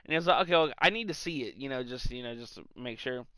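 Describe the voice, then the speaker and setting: A man co-hosting a podcast, telling comic anecdotes in a casual, animated, sweary way. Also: medium pitch, fast, clear, resonant tone, dry recording. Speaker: podcast co-host.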